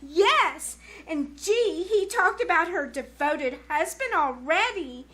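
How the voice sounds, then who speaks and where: A woman's voice: very high voice, expressive, fairly bright tone, heavily acted. A woman playing a dramatic, gushing teenage girl in a 1940s-style radio comedy, with exaggerated exclamations. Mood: puzzled, dramatic. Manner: breathless, exaggerated, drawn-out exclamations. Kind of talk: 1940s radio comedy acting before a live audience.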